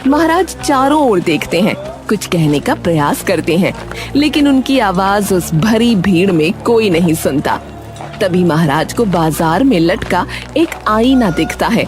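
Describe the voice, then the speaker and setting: A woman reading scripted, dramatic narration for an animated story, with music behind her. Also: mid-range voice.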